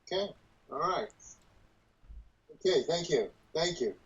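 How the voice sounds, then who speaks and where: A man's voice: fairly high pitch, lively intonation, a little slow, slightly rough. Folksy man in his seventies, dull call audio. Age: early 70s. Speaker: church leader leading a group call. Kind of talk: casual group conversation. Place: dim room at home, on a video call.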